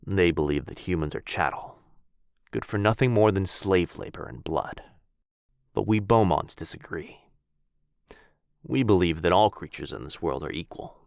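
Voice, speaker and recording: A man playing a gentle, earnest vampire aristocrat in an intimate ASMR roleplay, speaking softly and formally with tender, hushed dips. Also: sits low, lively intonation, moderate pace, gravelly, no room sound, studio quality.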